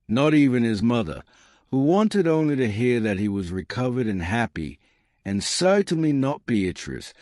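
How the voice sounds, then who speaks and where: Low-voiced man narrating with wide pitch swings. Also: a little mellow, no echo, studio quality. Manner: measured, literary, deliberate phrasing. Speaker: audiobook narrator. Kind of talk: scripted narration of a historical romance.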